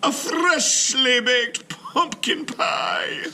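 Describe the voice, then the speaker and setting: A man voicing a kindly, clever older woman neighbor in a high, slow, sing-song voice. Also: sharp tone, a little hiss or hum, exaggerated voice. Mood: urgent, sweet.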